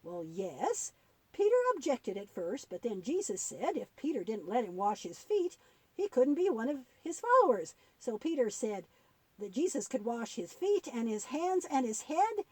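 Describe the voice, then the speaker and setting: A kindly old granny puppet, played by a woman, tells children a Bible story in a high, animated voice. She is patient and gently instructive, pausing as she goes, and the sound is close and dry. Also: husky, dry recording.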